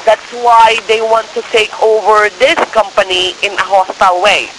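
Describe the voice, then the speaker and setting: A man with non-native English phrasing giving a persistent, partly scripted telephone sales pitch, often checking that the listener follows. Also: level tone, normal speed. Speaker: cold-call share scammer posing as broker. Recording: noisy phone call with crackly hiss.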